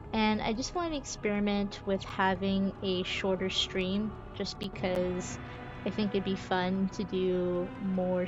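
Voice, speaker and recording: Woman streamer chatting through a game, takes her time, thinks out loud, music going behind her. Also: mid-range voice, lo-fi sound. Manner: musing aloud, thinking through things. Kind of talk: casual live gameplay commentary.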